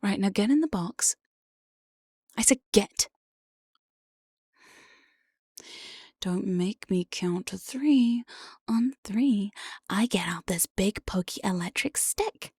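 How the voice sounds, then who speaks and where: A woman playing an evil, playful demon jailer in an ASMR roleplay, breathy and often whispering, with a sly, mock-sweet menace, close on the microphone. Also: medium pitch, expressive, slow, darkish tone, no echo. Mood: bossy, menacing. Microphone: close binaural studio microphone, dry.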